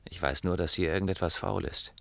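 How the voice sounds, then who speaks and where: A man's voice: low voice, fairly even intonation, fairly quick, vocal fry, fairly bright tone, no echo. An actor playing a wary, adventurous male sea traveller in a German science fiction radio drama, speaking casually and matter-of-factly. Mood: hushed, wary.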